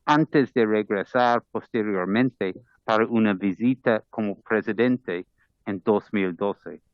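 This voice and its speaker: A man's voice: on the low side, no echo. A man from Ireland with an Irish accent, reading a formal address as an ambassador in careful second-language Spanish. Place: parliamentary committee room. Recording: dull, muffled committee audio.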